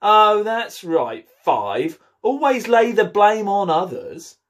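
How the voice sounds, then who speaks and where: A man's voice: high-pitched, very animated, takes it slowly, fairly bright tone, pitched above the performer's own voice, full character voice. A man putting on an indignant snippy card-gardener. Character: indignant, blame-dodging male playing-card gardener.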